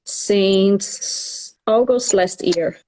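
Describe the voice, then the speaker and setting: A woman talks very slowly and hesitantly, restarting words as she goes. Her voice is mellow and warm. She sounds like a nurse chatting in an interview. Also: medium pitch, poor audio. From Portugal. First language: Portuguese. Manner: halting, hesitant English. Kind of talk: casual interview.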